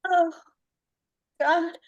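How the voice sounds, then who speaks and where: A woman's voice: very high-pitched, steady tone, very slow, lo-fi sound, full character voice. A woman playing a young beauty queen, an eager helper with hidden menace, in a screenplay table read. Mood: anxious.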